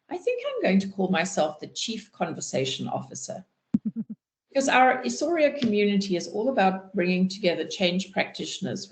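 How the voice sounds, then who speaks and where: A woman with a low, gravelly voice hosts an online panel on a video call. She speaks in an unhurried, welcoming way. Her voice rings in an echoey room. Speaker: community host and moderator.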